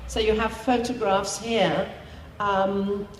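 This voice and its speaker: A woman interviewing a guest before an audience, polite and measured, with frequent ums. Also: medium pitch, little pitch movement, echoey room, big-room sound, slight background noise, low-quality recording.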